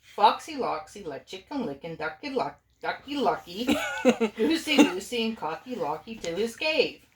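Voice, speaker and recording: A woman's voice: mid-range voice, big pitch swings, normal speed. A woman, a mother, reading a storybook aloud informally and expressively, with playful asides to her family. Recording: echoey room.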